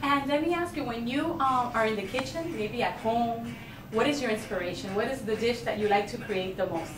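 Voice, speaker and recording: A woman's voice: fairly high pitch, a little fast. A woman conducting a conversational interview, asking questions and responding to the answers. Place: busy department store event. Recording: echoey, distant, music behind. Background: music.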